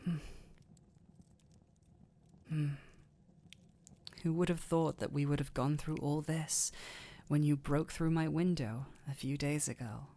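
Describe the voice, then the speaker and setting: Guy doing a kind tired fantasy doctor, soft breathy hesitant voice, really slow, protective type. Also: on the high side, steady tone. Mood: gentle, flustered. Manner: soft-spoken, hesitant, often breathy and sighing. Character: gentle, weary, protective male fantasy village doctor. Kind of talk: intimate roleplay audio drama.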